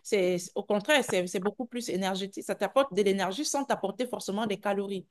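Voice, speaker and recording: A woman's voice: mid-range voice, fairly quick. A woman coach answering questions on a group video call, often repeating words and restarting her sentences as she reassures. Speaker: health and weight-loss coach.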